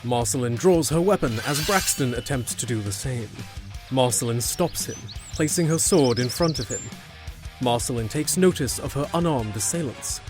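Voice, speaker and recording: A man's voice: mid-range voice, expressive, normal speed. A man narrating a scripted fantasy audio drama as a storyteller. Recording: music behind.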